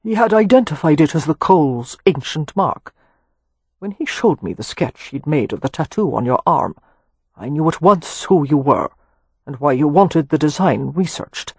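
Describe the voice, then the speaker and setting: A haughty old Irish earl with an Anglo-Irish accent, as an audiobook reader puts him on, cold, commanding and dismissive. His voice sits a touch higher and louder than the reader's narration, with a husky edge. It sounds dry, with no room around it. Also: expressive, normal speed, no echo. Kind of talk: audiobook character reading.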